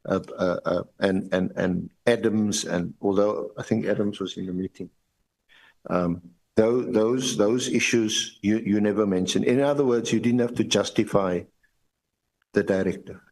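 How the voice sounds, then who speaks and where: A South African man questioning a witness formally as evidence leader at a hearing, with halting, repetitive phrasing and lawyerly deference. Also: fairly low pitch, gravelly, a little mellow, poor audio.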